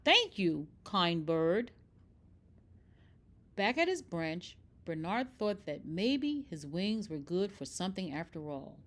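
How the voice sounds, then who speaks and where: Unhurried, animated woman reading a storybook. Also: fairly low pitch. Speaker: storybook reader. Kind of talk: expressive scripted narration for children.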